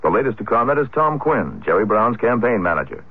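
Male American news anchor, fast delivery, husky, dull archival radio sound. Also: fairly low pitch, big pitch swings. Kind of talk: scripted network news bulletin. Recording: muffled archival radio broadcast.

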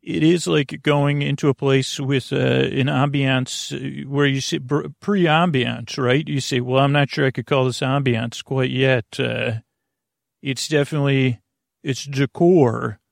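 Man, creaky drowsy voice, dry close mic, rambling bedtime talk, halting. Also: medium pitch, steady tone, dry recording. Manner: drowsy, self-deprecating asides. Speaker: sleep podcast host.

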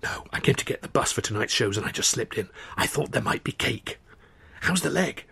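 A man's voice: mid-range voice, expressive, a little fast, vocal fry, pushed and bright, breathy edge, heavily acted. A man reading an audiobook voices a genial, guileless young comedian friend with an English accent. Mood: furtive, chatty.

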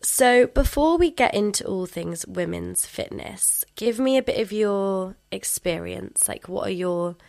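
A woman's voice: mid-range voice, animated, measured pace, vocal fry, few pauses, darkish tone. A woman hosting a fitness podcast, chatty and friendly, sounding a bit stuffy from a cold. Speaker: podcast host. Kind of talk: casual interview chat.

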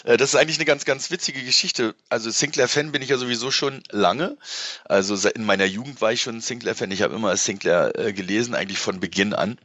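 A man's voice: on the low side, quick pace, a bit bright, dry recording. A middle-aged man chatting casually as an interview guest in a rambling, colloquial, enthusiastic way, on slightly dull audio. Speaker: interview guest, event presenter and moderator.

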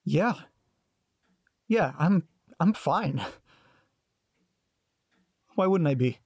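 A man's voice: fairly high pitch, animated, extremely slow, a little mellow, slightly rough, no room sound, exaggerated voice. A man acting in an audio drama as a guarded, sarcastic grandson who is detached from family trauma, speaking in a dry, hesitant, clipped way. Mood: evasive.

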